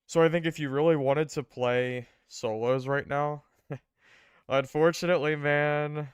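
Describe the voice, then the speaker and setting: A man's voice: a little high, unhurried, clear, resonant tone, dry recording, highs cut off. A man streaming a game, chatting casually with viewers while he recovers from being sick, his voice a bit worn. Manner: rambling, relaxed, greets chat viewers. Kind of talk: casual gaming stream chat.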